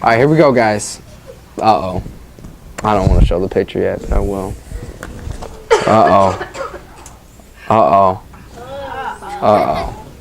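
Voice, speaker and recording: A teacher reading a story aloud expressively to children, stopping to ask them questions in an informal, engaging way. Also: low-pitched. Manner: engaging, informal, addresses listeners as guys. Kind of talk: expressive read-aloud with questions to young listeners.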